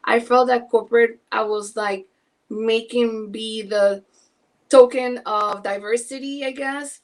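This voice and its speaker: A woman's voice: a little high, steady tone, takes it slowly. A woman from Panama introducing herself as a podcaster, earnest and reflective. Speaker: podcaster guest. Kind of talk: casual self-introduction.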